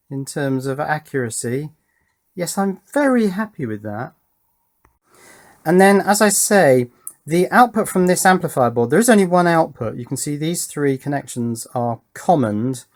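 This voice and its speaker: A man giving a casual hands-on electronics demonstration, musing aloud with pleased enthusiasm as he works. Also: medium pitch. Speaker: electronics hobbyist presenter.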